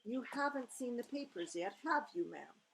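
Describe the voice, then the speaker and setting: A woman's voice: on the high side, lively intonation, normal speed, creaky, a bit bright, a little hiss or hum, pitched above the performer's own voice, rough recording. A woman reading aloud and voicing an older palace maid who speaks in a deferential, quivering way. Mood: anxious.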